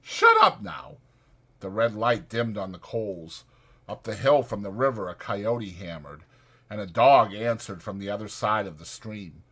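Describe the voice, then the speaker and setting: A man's voice: fairly low pitch, moderate pace. A man reading a novel aloud as a scripted, dramatic audiobook narration. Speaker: audiobook reader.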